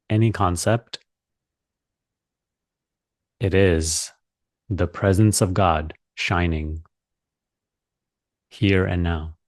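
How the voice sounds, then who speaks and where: A man leading a guided meditation as a teacher, speaking calmly and deliberately with long pauses. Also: low voice, dry recording.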